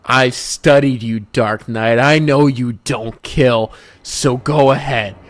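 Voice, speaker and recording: A man's voice: medium pitch, steady tone, slow, close to an everyday voice. A man voicing a sinister, gloating mastermind of a deadly game, taunting theatrically in a fan story. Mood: cold taunting.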